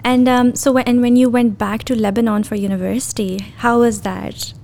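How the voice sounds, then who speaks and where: A woman's voice: on the high side, fairly even intonation, fairly quick, vocal fry, a little mellow. A woman hosting her own podcast who introduces the episode and then interviews a guest in a friendly, conversational way. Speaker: podcast host.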